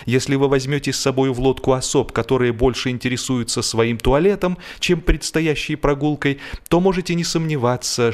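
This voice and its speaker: A man narrating a humorous story expressively, with a wry, theatrical manner and deliberate pauses. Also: medium pitch. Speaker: audiobook narrator.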